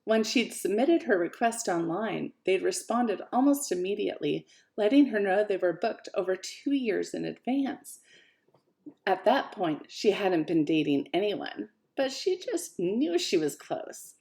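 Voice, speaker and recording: A woman author reading her own fiction aloud in an expressive, lively way. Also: mid-range voice, measured pace, creaky. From Canada. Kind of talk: audiobook-style fiction reading.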